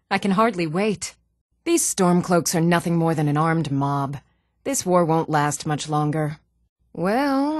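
Earnest fantasy game townswoman acted by a woman, medium-pitched, sturdy, formal old-world phrasing, very dry recording. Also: a little mellow, no room sound, lightly acted. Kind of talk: fantasy video game voice lines.

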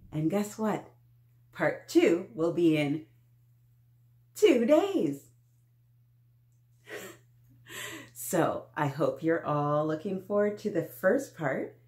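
Slow-talking animated woman, children's story host. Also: on the low side. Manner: animated, exaggerated, sing-song talk to small children. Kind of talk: read-aloud for young children. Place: small indoor room with painted mural.

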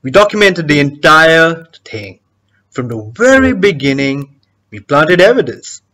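A man's voice: on the high side, sing-song, sharp tone, voice pushed up, exaggerated voice. Smug, menacing schemer acted by a man. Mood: mocking. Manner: taunting, deliberate, sardonic. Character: smug, menacing male schemer gloating over his trapped victim. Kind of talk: theatrical monologue.

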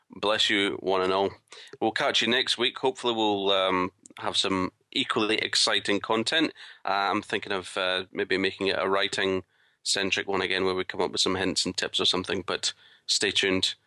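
A man's voice: sits low, pushed and bright, no room sound. A man co-hosting a podcast in casual, wry, joking chat. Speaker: podcast co-host.

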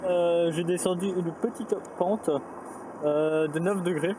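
A man's voice: sits high, fairly even intonation, takes it slowly. Chatty young man vlogging outdoors. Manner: chatty, self-mocking, many fillers. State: a bit tired after cycling. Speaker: cycling vlogger. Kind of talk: casual vlog narration. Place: outdoors in a village and a forest, inside a small bunker. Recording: outdoor camera audio with clear ambient noise.

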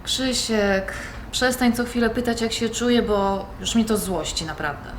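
A woman's voice: a little high, moderate pace, natural voice. A woman playing an adult granddaughter with a dry, conversational manner in a naturalistic audio drama. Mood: irritated. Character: Agnieszka, adult granddaughter, dry, easily irritated. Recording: very roomy, faint background noise.

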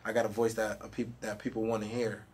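A man's voice: on the low side, flat delivery, fast talker, a little mellow, husky. A young man, a rapper, chatting casually in an interview with a handheld mic in a studio room, laid-back and full of "you know what I mean". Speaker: rapper guest.

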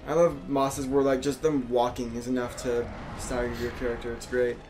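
A man's voice: medium pitch, little pitch movement, moderate pace, a little mellow. Young man gaming, slightly noisy recording. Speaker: video game player and commentator. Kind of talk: casual joking gameplay chat. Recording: noisy, game ambience behind voice.

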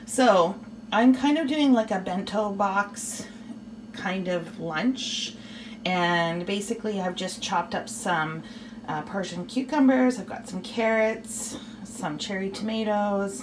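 A woman's voice: mid-range voice, far from the mic, background noise. A woman chatting casually to camera in her home kitchen as she shows the food she prepared for the week. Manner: chatty, relaxed, with fillers and asides. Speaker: vlogger showing her meal prep.

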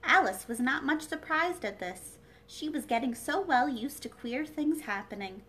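A woman's voice: high voice, expressive, moderate pace, a bit bright. A young adult woman hosting a children's read-aloud, reading a story indoors at a little distance from the mic. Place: small living room, indoors. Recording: faint noise, home livestream.

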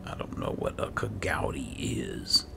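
A man murmuring low-key game commentary, thinking aloud and reading bits as he plays. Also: a little slow, heavy background noise. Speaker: gamer host playing and roleplaying a fantasy game. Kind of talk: casual game commentary with read-aloud notes.

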